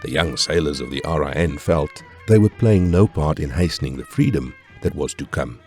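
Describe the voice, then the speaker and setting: Very deep-voiced documentary narrator. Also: male voice, expressive, normal speed, a little mellow, faint music behind. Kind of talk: scripted narration.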